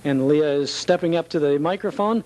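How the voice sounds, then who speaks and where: A man's voice: a little high, brisk, darkish tone, rough recording. A man hosting a TV interview show, chatting in a folksy way with his guest. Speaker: TV show host.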